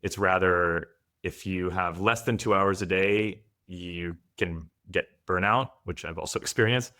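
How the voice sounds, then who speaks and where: A man's voice: sits low, a little slow, sharp tone. A man from France, a guest father, giving thoughtful, candid answers in a podcast interview.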